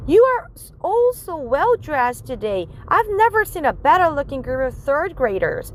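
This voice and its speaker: A woman's voice: high voice, sing-song, moderate pace, a little hiss or hum, pitched above the performer's own voice. A woman voicing a cheerful, encouraging third-grade teacher in a children's storybook read-aloud. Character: friendly female third-grade teacher addressing her class.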